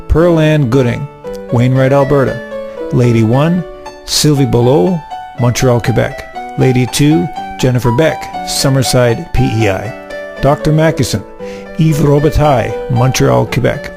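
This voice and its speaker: Creaky-voiced male announcer over guitar music. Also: mid-range voice. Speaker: announcer reading the cast list. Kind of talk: scripted credits announcement.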